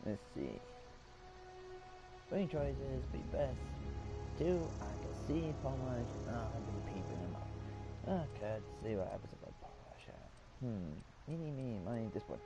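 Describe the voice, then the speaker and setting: A man's voice: medium pitch, animated, unhurried, low-quality recording. A man casually commenting while playing a game and rambling to himself. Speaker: gamer. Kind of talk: casual let's-play commentary. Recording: clear game music behind voice.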